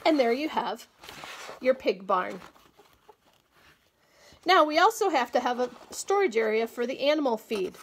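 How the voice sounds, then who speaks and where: A woman's voice: on the high side, very animated, a little slow, pauses often, slight background noise. Woman patiently demonstrating a table-top craft. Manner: clear, patient instructional delivery. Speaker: agriculture educator. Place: small indoor room, table-top demo.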